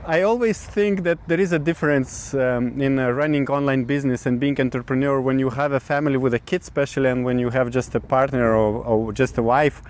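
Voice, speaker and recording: A man in his thirties from Lithuania, speaking English with a Lithuanian accent in casual conversation, often pausing to search for words. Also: mid-range voice, fairly even intonation, a little mellow. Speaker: podcast co-host. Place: outdoors in a city.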